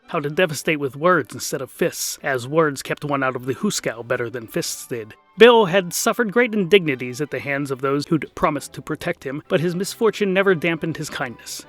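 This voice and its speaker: A man narrating a scripted comic fantasy story in a wry, theatrical storyteller's manner. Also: a little high.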